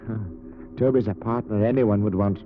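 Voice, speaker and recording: An actor with a British accent playing an obsessive, possessive ventriloquist in an overwrought, theatrical 1940s radio style. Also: male voice, on the low side, fairly even intonation, normal speed, over music, natural voice. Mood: obsessive, aggrieved. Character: unhinged, possessive male ventriloquist obsessed with his dummy. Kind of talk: 1940s old-time radio acting. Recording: muffled, noisy vintage radio recording.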